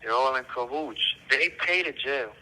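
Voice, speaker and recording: Forceful, sharp-voiced man on tinny phone. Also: medium pitch, normal speed. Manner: emphatic, aggrieved, short punchy repeated phrases. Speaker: prison inmate being interviewed. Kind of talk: casual, blunt personal testimony. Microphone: telephone. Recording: tinny prison phone line.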